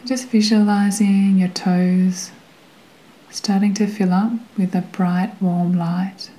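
A woman guiding a meditation in a gentle, soothing way, with a soft, sometimes breathy voice and long pauses between phrases. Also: medium pitch, level tone, poor audio. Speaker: meditation guide. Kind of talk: guided meditation narration.

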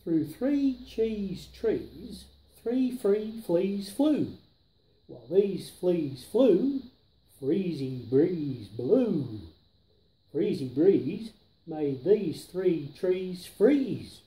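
A man's voice: high voice, big pitch swings, extremely slow, warm tone. A man in his seventies reading a children's rhyming book aloud, placing each tongue-twisting word carefully and with emphasis. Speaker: storyteller grandfather. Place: indoors, living room on a sofa. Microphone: camera a few feet away. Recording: roomy living-room echo.

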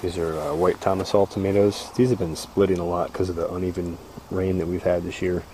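Man, very low pitch, casual farm tour, easygoing rambling, outdoors, camera mic, crickets and insects behind. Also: little pitch movement, brisk, darkish tone, a little hiss or hum. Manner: easygoing, rambling, frequent "uh" fillers. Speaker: farmer giving a garden tour. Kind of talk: casual walking tour commentary. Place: outdoor vegetable farm fields. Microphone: handheld camera mic, walking.

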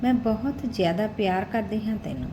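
A woman's voice: medium pitch, expressive, brisk, lightly acted. A woman voicing an earnest, devoted young village woman in a narrated love story. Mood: earnest, determined. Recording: clearly noisy recording with background ambience.

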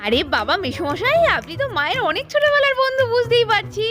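A woman voicing an anxious, dutiful elder daughter-in-law, animated and exclamatory, in a puppet cartoon. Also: very high voice, fast talker. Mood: polite, bright. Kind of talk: Bengali puppet cartoon narration and dialogue.

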